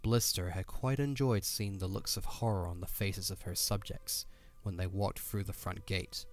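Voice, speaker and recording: Male narrator, very deep, for dramatic scripted storytelling over a soft music bed. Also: fairly even intonation, moderate pace. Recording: background music under the voice.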